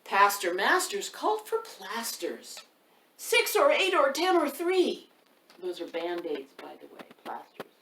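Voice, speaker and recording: A woman's voice: medium pitch, huge pitch swings, unhurried, fairly bright tone. A woman hosting a children's storytime, reading a picture book aloud and putting questions to her young listeners. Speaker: author and storytime host. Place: indoor room by a wood stove. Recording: roomy, distant mic, faint noise.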